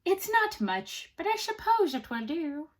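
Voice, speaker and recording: A woman's voice: very high-pitched, sing-song, unhurried, voice pushed up, heavily acted. A woman voicing an odd little old magical man in a storybook read-aloud, curt and grudging as he haggles. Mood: grudging, sly.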